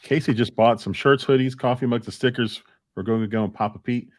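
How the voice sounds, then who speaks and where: A man's voice: medium pitch, a little fast, slight background noise. A man co-hosting a casual live-stream chat, speaking conversationally and relaying comments.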